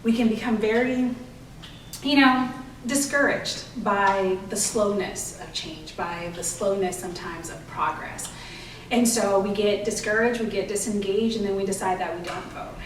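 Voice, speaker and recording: A woman's voice: mid-range voice, moderate pace, distant mic. A woman speaking as a children's book author in an interview, earnestly explaining and promoting her work. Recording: roomy echo, off-mic, faint noise.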